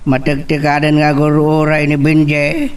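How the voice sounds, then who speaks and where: Guy playing older ilocano dad, real slow and gentle, kinda high voice, off the mic. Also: completely flat delivery, clean tone, recorded from a distance, close to an everyday voice. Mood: gently advising. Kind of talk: amateur audio drama acting.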